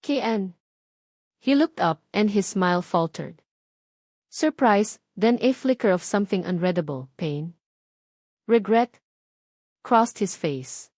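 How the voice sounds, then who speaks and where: A woman's voice: mid-range voice, lively intonation, unhurried, pauses often, a little mellow, dry recording, clean studio sound. A woman with a Filipino accent giving a dramatic scripted narration of a romance audiobook.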